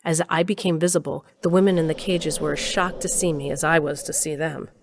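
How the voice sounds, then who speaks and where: A bold female lead in an audio drama. The voice sits a little low, moving between brisk first-person narration and dialogue. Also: steady tone, crowd noise. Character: brisk, bold heroine narrating her rescue adventure.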